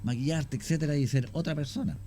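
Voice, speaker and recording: Chilean man, radio chat, mellow voice. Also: a little high, fast talker, slight background noise. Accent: Chilean Spanish. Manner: storytelling, animated with hesitations. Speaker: guest, cemetery tour guide and actor. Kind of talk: casual radio conversation. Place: radio studio.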